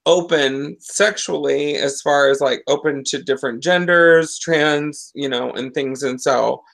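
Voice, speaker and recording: A woman's voice: deep voice, a little slow, creaky, low-quality recording. Woman in her forties on a video call. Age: 40s. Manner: rambling, self-interrupting. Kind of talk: casual chat. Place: living room at home. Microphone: video call webcam.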